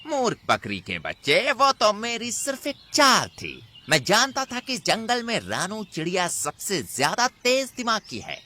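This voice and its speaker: A man's voice: sits high, wildly swooping pitch, normal speed, few pauses, bright, forceful tone, a little hiss or hum, exaggerated voice. A man voicing a cunning, menacing villain wolf in a children's cartoon, boastful and sly in delivery. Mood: menacing, gloating. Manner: exaggerated, sly, threatening delivery. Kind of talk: Hindi children's cartoon voice acting.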